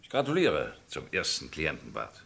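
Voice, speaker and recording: A man's voice: extremely low pitch, wildly swooping pitch, moderate pace, vocal fry, fairly bright tone, husky, exaggerated voice. A man acting a polite, assured law-office colleague in a 1960s German radio play, speaking in a polished, formal way.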